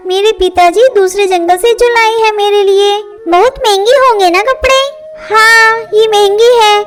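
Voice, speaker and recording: A woman voicing a young girl bird in a Hindi children's cartoon. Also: extremely high pitch. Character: young girl birds, one haughty rich, one humble poor. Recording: loud music bed under voice. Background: loud music.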